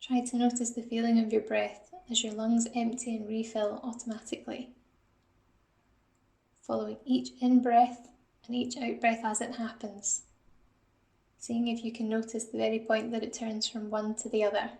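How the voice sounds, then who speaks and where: A woman guiding a mindfulness body scan in a calm, gentle, instructional manner, like a psychologist leading an exercise. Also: fairly high pitch, moderate pace, gravelly, echoey room. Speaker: assistant psychologist guiding a meditation.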